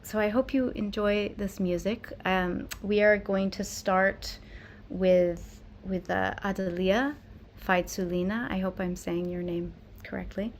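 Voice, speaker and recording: A woman hosting an online concert, introducing it in a casual, chatty way with trailing pauses. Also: medium pitch, fairly even intonation, slight background noise. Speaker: host and cellist introducing a concert.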